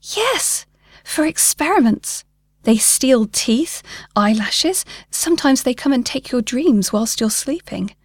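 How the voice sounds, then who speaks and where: A woman's voice: high-pitched, expressive, takes it slowly, hardly any pauses, breathy edge, dry recording, pitched above the performer's own voice, exaggerated voice. A woman voicing a paranoid man in his late fifties, an urgent, emphatic conspiracy theorist in a short story. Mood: earnest, paranoid. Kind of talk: short story character dialogue.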